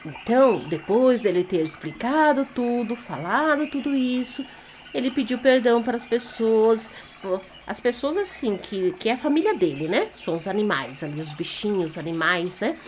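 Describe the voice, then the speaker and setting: A woman's voice: on the high side, expressive, normal speed, a little hiss or hum. A woman with a Brazilian accent telling a children's story off the cuff, in a theatrical, teacherly way, checking in with young listeners. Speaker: children's storyteller and party entertainer.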